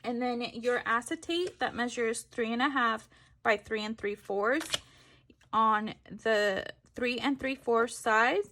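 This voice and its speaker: A woman's voice: on the high side, slow, pushed and bright. A woman giving a casual step-by-step craft tutorial, informal, correcting herself and thinking aloud as she goes.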